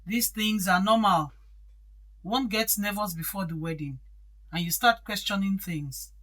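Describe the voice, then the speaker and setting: A woman's voice: medium pitch, unhurried, fairly bright tone. An actress playing a domineering, impatient middle-aged stepmother in an audio drama, stern and admonishing. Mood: exasperated.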